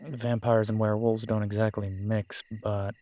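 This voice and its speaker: A man's voice: on the low side, level tone, normal speed, dark tone, no echo, close to an everyday voice. Man playing an easygoing, teasing werewolf biker. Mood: relaxed, wry. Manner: casual, informal, joking. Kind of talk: scripted audio roleplay drama.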